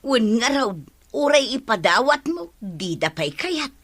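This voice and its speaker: A chatty village seller, an eager accomplice in comic radio drama. Her voice is bright and fairly high, full of exclamations. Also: sing-song, unhurried, full character voice. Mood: eager, agreeing. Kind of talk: comedic radio drama acting.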